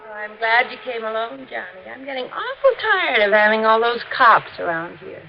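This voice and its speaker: High-voiced scheming radio widow. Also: female voice, lively intonation, moderate pace, gravelly, over a noisy crowd. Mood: coy, impatient. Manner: coy, wheedling, snappish. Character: scheming, hard-edged widow, coy then venomous. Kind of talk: 1950s radio drama acting. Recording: noisy, muffled 1950s radio recording.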